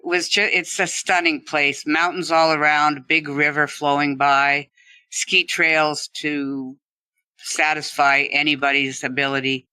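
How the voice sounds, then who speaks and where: Middle-aged woman, very bright sharp tone, dry close sound, conversational storytelling. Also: low-pitched, little pitch movement, unhurried, clean tone, dry recording. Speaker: podcast guest, former ski instructor.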